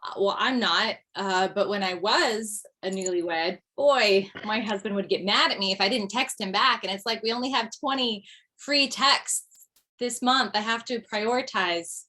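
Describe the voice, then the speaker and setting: A woman's voice: medium pitch, a little slow, pushed and bright. A woman playing a self-deprecating mother returning to stand-up, delivering wry and hesitant lines in a table read. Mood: flustered. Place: video call from home.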